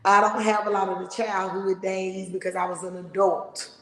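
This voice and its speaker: A middle-aged woman hosting a podcast, talking loudly and emphatically in a big voice. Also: mid-range voice, level tone, normal speed. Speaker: podcast host. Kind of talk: motivational casual talk. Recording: echoey room with long reverb.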